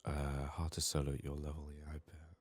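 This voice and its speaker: A man giving soft-spoken ASMR game commentary, murmuring haltingly and slipping into whispers, his voice strained from being sick. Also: rumbling low, completely flat delivery, no room sound. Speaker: ASMR gaming video host.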